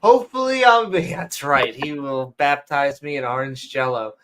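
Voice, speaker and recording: Excitable, rambling man with a bright voice. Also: medium pitch, moderate pace, slight background noise. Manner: rambling, excitable, jumps between topics. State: losing his voice. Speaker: podcast co-host. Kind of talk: casual late-night podcast chat.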